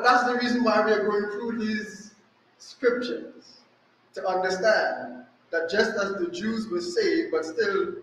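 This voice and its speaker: A man's voice: very high voice, a bit bright. A middle-aged man with a Trinidadian accent preaching a sermon at a lectern stand mic, emphatic, with rhetorical questions and repetition. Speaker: resident preacher. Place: small church room, indoors. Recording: very echoey room.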